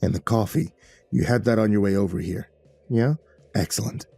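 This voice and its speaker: A man voicing a grizzled older man who speaks deadpan and matter-of-fact. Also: fairly low pitch, moderate pace, creaky, breathy edge, soft background music.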